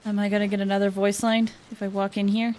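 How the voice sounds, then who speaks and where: A woman's voice: mid-range voice, level tone, quick pace, a little mellow. A woman game streamer giving casual livestream commentary with music behind her. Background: faint game music.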